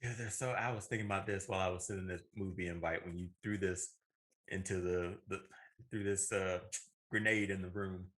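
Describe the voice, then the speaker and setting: A man's voice: low-pitched, steady tone, brisk, fairly bright tone. A man co-hosting a movie talk show, chatting casually. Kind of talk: casual chat.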